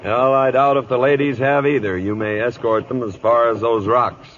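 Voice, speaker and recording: Man acting a gruff old cavalry captain, muffled. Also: mid-range voice, fairly even intonation, normal speed, fairly bright tone, natural voice. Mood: dry, wry. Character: gruff veteran US cavalry captain, older, commanding, dryly sardonic. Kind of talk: 1950s radio drama acting and narration. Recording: dull, noisy old radio broadcast recording.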